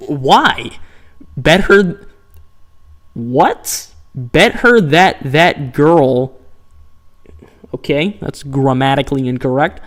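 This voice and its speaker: A man's voice: a little high, lively intonation, very slow, long pauses, fairly bright tone. A man live-streaming a dating game, reacting with sarcastic, incredulous commentary and reading options aloud.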